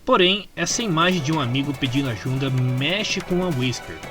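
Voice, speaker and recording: Casting: Brazilian man, slightly high-pitched, informal story narrator for a fan comic, music underneath. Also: lively intonation, normal speed. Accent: Brazilian Portuguese. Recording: music behind the voice. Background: background music.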